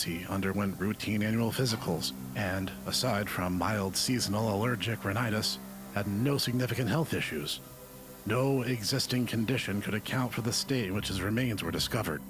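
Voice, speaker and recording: Low-voiced, clinical actor as a male researcher. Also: lightly acted. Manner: clinical, formal, measured. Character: detached male researcher reading grim lab findings. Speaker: narrator. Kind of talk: scripted analog horror report narration. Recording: voice-over under clear background music. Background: music.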